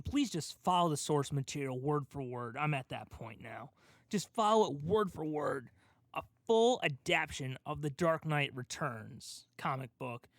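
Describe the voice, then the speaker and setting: Man with higher-pitched voice, casual podcast talk. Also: fairly bright tone, no room sound, studio quality. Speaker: podcast co-host. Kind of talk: casual podcast discussion of comic book films.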